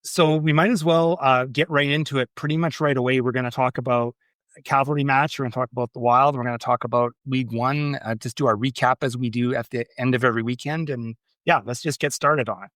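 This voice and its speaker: A man's voice: medium pitch, fairly even intonation, fast, fairly bright tone, no room sound, clean studio sound. A man hosting a casual soccer podcast, chatty and friendly as he recaps the weekend's matches. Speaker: podcast host. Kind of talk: casual sports chat.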